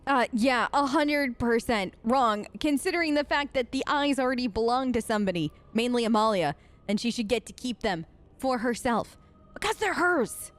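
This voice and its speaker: A woman's voice: on the high side, lively intonation, moderate pace. A woman doing improvised role-play commentary over a video game in a sassy, sarcastic, conversational way. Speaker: gaming video host.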